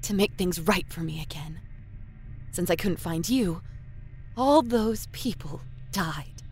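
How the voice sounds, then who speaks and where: Woman acting a vengeful, animated killer. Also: on the low side, takes it slowly, soft background music. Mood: bitter. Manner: bitter, intense, accusing. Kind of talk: audio horror drama acting.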